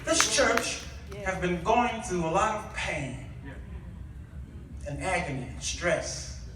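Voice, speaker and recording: A man preaching an impassioned sermon, exhorting his listeners with pauses and rhetorical questions. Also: sits high, animated, noisy background. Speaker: guest preacher. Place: large echoey indoor church with congregation. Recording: echoey church, distant mic, congregation responding.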